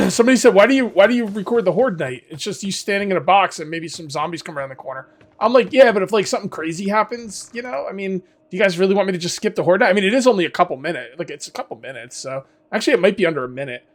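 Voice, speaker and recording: A man with a hoarse, fading voice, casually commenting on his survival game as he plays and rambling to his viewers. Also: high-pitched. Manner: rambling, self-correcting, talks to viewers. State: losing his voice. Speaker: gaming video host. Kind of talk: casual let's-play commentary.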